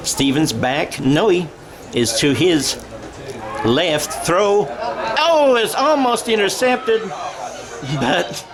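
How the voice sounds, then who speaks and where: A man's voice: high voice, lively intonation, drawn-out words, long pauses, crowd noise. A middle-aged American man calling a football game in radio play-by-play style, with a folksy, self-correcting manner. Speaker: play-by-play sports announcer.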